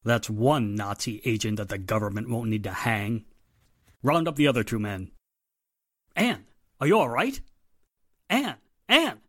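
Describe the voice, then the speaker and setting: An actor playing a gruff, heroic police inspector, commanding and urgent in 1940s radio drama style. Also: male voice, mid-range voice, lively intonation, a little slow, pauses often.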